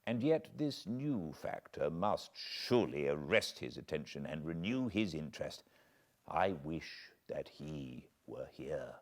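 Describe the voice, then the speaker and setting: A British man reading a classic novel aloud as a narrator, measured and expressive, at home beside a fireplace. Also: very deep voice. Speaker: audiobook narrator. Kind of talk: unabridged audiobook reading.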